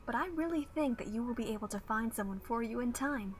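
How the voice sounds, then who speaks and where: A woman voicing a patient, reassuring counselor in an animated fan dub, speaking in a gentle, encouraging way. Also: on the high side, fast, over a noisy crowd, natural voice. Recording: faint music behind the voice.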